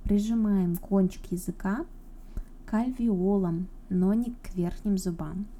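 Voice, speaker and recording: A woman from Russia hosts an informal pronunciation lesson, her voice deeply mellow and warm. She explains each point in a chatty way and turns to her viewers often, as if they sit across from her. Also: measured pace. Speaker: English pronunciation teacher. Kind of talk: informal video lesson to camera.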